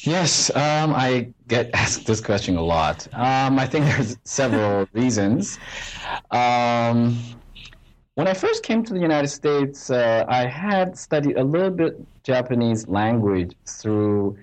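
A man from China, a history professor answering interview questions in a halting way with many fillers. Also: medium pitch, very animated, low-quality recording. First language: Chinese.

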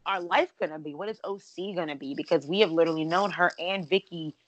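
Raspy lady podcast host, lowish voice, dry sound, says like a lot. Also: dry recording. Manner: chatty, fast-talking with many "like" and "literally". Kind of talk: casual chat. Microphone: remote call, cutting out at times.

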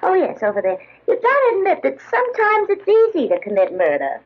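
A woman's voice: extremely high pitch, wildly swooping pitch, fairly quick, full character voice. An actress playing a chatty woman with a lightly macabre air, in 1940s radio drama style. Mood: brightly macabre. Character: chatty woman musing lightly about murder. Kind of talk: 1940s radio horror acting. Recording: muffled old radio recording.

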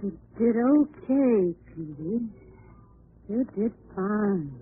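A woman's voice: mid-range voice, animated, drawn-out words. A woman playing a scheming, increasingly frantic murderess in a 1940s radio crime drama, coaxing and melodramatic. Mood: coaxing, seductive. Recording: scratchy, narrow-band old radio broadcast.

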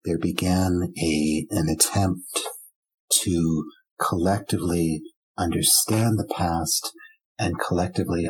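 A man whose first language is German, speaking as an author in an interview, answering in a measured, thoughtful way with frequent pauses and fillers. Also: low-pitched, breathy edge, low-quality recording.

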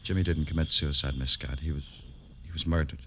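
An actor playing a world-weary police detective, terse and dry, in old-time radio noir style. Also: male voice, very deep voice, fairly even intonation, brisk, a bit bright, a little hiss or hum. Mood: gently probing.

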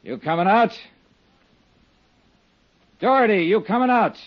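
An actor playing a tough, terse, wisecracking private investigator, narrating and acting in dry 1950s radio drama style. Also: male voice, high voice, measured pace, background noise. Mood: firm, commanding. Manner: terse, dry, deadpan delivery. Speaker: lead actor and narrator of detective serial. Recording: dull vintage 1950s radio recording with music.

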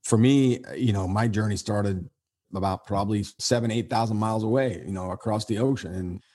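Deep husky man, earnest and reflective. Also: brisk, warm tone. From Syria. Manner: earnest, reflective, rambling with many restarts. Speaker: podcast host being interviewed. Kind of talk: conversational podcast interview.

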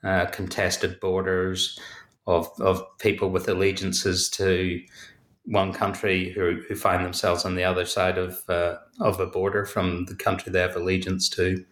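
A man's voice: deep voice, monotone, a little slow, a bit bright, reverberant. A man with a Belfast accent, an author giving thoughtful, hesitant interview answers full of fillers and restarts. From Northern Ireland. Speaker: author and academic guest.

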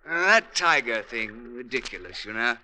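A pompous British colonial major blusters through clipped, hesitant phrases. His voice swoops widely and cuts through bright and sharp, in classic radio drama style with faint noise behind. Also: mid-range voice, lively intonation, moderate pace, rough recording. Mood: self-assured, probing. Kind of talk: old-time radio drama acting.

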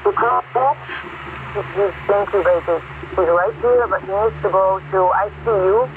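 Halting woman on tinny phone line. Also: medium pitch, background noise. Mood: apologetic, pressing. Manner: halting, hesitant, officially concerned. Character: hesitant, apologetic female rehab caller, secretly a scammer. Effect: telephone filter. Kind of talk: naturalistic scripted audio drama acting.

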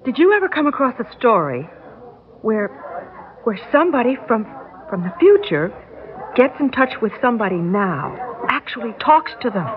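On a thin, tinny, noisy old radio recording, a flustered young working woman. Her voice is highish and very mobile, full of hesitation and stammering. It is the skeptical heroine of a vintage radio drama. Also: very animated, moderate pace. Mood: distracted, uneasy. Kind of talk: classic radio drama acting.

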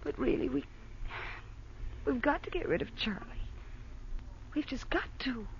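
A woman's voice: high-pitched, lively intonation, a little slow, gravelly, full character voice. A woman playing a scheming, wounded nightclub femme fatale in old radio crime drama, melodramatic, bitter and pleading. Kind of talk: 1940s-50s radio crime drama acting. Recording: dull, noisy old radio broadcast.

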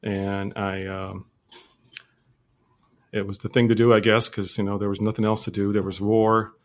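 A man in his forties from Lebanon, telling his life story as a guest in a casual interview, steady and measured with frequent fillers. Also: fairly low pitch.

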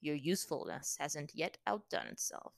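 Smug warrior villain for fantasy audiobook, played by a woman: lowish, calm, condescending, coolly menacing, dry sound. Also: normal speed, vocal fry, no echo, full character voice. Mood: smug, mocking. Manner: calm, offhand, condescending taunting.

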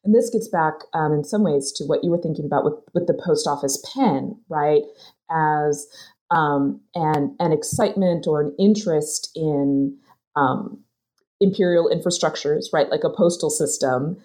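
A woman's voice: sits low, moderate pace, mellow. A woman author discussing her research in a thoughtful, hesitant way, with many fillers and restarts. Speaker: author being interviewed. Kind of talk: academic conversation.

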